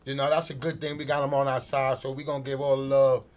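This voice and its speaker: A man's voice: mid-range voice, flat delivery, fast talker. A man with a scratchy voice, a rapper talking laid-back and joking in a casual interview.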